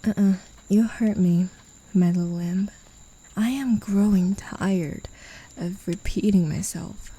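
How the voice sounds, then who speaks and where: A possessive demon, played by a woman, toys with her claimed pet in a soft, condescending ASMR roleplay. The voice is very dark and gravelly, with a husky edge. She teases and drops into low whispers. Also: takes it slowly, poor audio. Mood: taunting.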